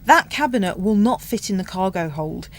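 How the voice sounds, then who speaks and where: An exasperated check-in clerk, played by an actress who lays down the law. Her woman's voice is mid-pitched and very animated, in spoof docu-soap style. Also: moderate pace, lightly acted. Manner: firm, emphatic. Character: firm, exasperated female airline check-in clerk. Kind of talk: spoof docu-soap radio comedy acting.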